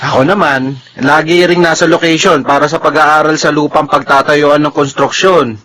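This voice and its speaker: High-pitched busy younger Filipino brother. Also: male voice, fairly even intonation, normal speed, sharp tone, rough recording. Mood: hurried excuses. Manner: casual, excuse-making. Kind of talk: Filipino radio drama acting.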